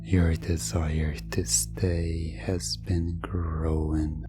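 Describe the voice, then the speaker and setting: A man delivering scripted hypnosis roleplay narration in an ASMR style, soothing and suggestive, with long pauses. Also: a bass voice, level tone, music in the background.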